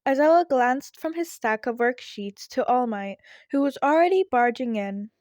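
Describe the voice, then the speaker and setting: A woman's voice: high-pitched, animated, moderate pace, a little mellow, no echo, studio quality. A woman reading fan fiction aloud as scripted narration.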